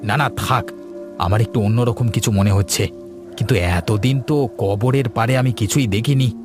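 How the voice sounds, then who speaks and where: A man's voice: fairly low pitch, normal speed, over music, lightly acted. A man playing a cautious, uneasy village shopkeeper in a cartoon ghost story, hesitant and wary.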